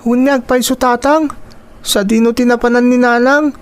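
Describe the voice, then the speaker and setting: A woman's voice: on the high side, steady tone, moderate pace, close to an everyday voice. A woman voicing an upset young child in a Filipino radio drama, with a Filipino accent. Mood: worried, pleading. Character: upset young child, the little sibling Junjun.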